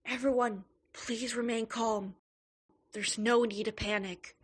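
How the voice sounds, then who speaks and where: A woman playing a cat-like peacekeeper in an audio drama, hushed, breathy and calming. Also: on the high side, slow pace.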